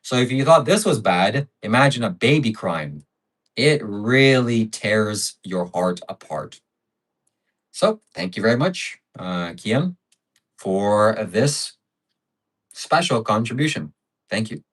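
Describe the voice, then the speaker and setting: Low-voiced man hosting a livestream. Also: expressive, slow. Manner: reads out donations and piles up adjectives. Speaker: livestream host. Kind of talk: casual livestream chat.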